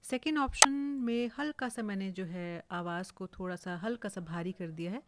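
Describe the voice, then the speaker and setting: An Indian woman giving an informal, explanatory software tutorial, mixing English words into her speech. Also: mid-range voice, moderate pace, no echo. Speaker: voice-over artist giving a tutorial. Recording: clearly hissy home recording.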